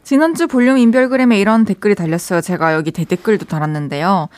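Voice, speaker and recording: A woman's voice: medium pitch, fast talker, a little mellow, no room sound. A woman hosting a radio show in casual, playful banter with a co-host. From South Korea, a dialect-speaking region.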